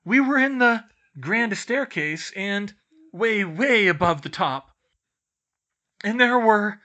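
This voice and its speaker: A man voicing excitable, mischievous teenage twin brothers in a loud, exclamatory way for a storybook reading. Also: mid-range voice, moderate pace. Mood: breathless alarm. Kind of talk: audiobook character voice.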